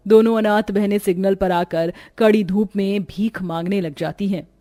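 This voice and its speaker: A woman's voice: mid-range voice, fairly even intonation, moderate pace, dark tone, no room sound. A woman reading a scripted dramatic story as its narrator.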